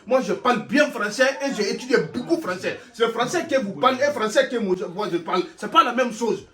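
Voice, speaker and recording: An actor playing a boastful man newly arrived from abroad who wants to be a teacher, speaking halting broken French with many stammers. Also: extremely high pitch, lively intonation, pushed and bright, full character voice. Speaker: sketch comedy actor. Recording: roomy sound, from some distance.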